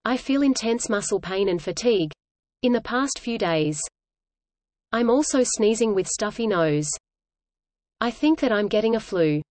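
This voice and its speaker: A woman reading an English lesson dialogue aloud in a measured, even way, repeating each line for learners. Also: fairly high pitch, no room sound.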